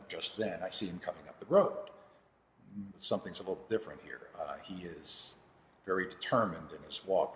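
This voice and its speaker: An actor, a man, playing an earnest, troubled farmer father telling his story in a halting dramatic monologue. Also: sits low, lightly acted. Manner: halting, with dramatic pauses and restarts. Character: anguished, earnest farmer father of two sons. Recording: roomy, echoing hall with long reverb.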